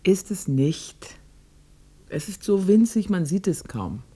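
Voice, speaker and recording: Radio play: stubborn, eccentric old woman, lowish husky voice. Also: sing-song, takes it slowly, dark tone, exaggerated voice. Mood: dry, grumbling. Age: older. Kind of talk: radio drama acting.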